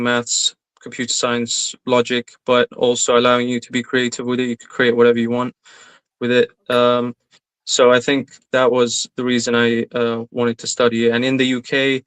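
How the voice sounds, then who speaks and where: Young guy from iraq chatting in an interview, smooth voice, pretty monotone, lots of uh and um. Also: mid-range voice. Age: young adult. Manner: hesitant, many fillers. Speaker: interviewee, graduate. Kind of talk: casual interview.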